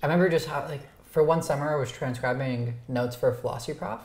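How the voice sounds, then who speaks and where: A woman's voice: sits low, fast, darkish tone, echoey room. A woman whose first language was Romanian, hosting a casual dinner conversation at home, thoughtful and self-deprecating. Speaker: host. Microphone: lapel mic.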